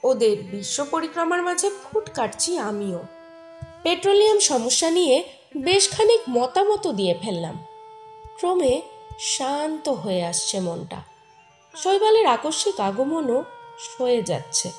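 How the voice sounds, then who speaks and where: A woman's voice: high-pitched, unhurried. A woman playing a flustered young married woman, narrating an audio story of secret love with intimate emotion. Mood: reflective. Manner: expressive, emotional, intimate first-person telling. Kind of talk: dramatised short-story narration with acted dialogue. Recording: music behind the voice. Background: soft music.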